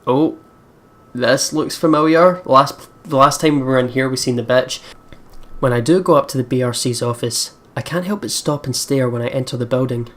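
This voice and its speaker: A woman hosting a let's play, reading visual novel narration and reacting in casual, expressive, sweary commentary. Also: extremely low pitch, normal speed.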